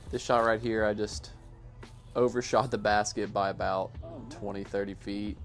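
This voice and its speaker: A man giving a casual, self-deprecating voice-over commentary on his own amateur sports highlights in a matter-of-fact way. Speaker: amateur disc golfer narrating own highlights.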